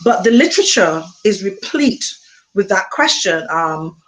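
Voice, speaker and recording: A woman from Montserrat with a Montserratian accent, an author being interviewed, speaking thoughtfully with frequent pauses and ums. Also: mid-range voice, big pitch swings, rough recording. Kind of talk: reflective conversation.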